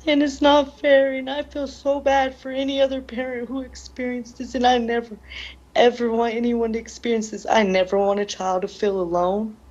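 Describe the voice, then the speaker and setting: A woman's voice: a little high, level tone, moderate pace, a little mellow, highs cut off. A grieving woman answering interview questions in an earnest, emotional, plain-spoken way, often repeating her phrases. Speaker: bereaved mother being interviewed.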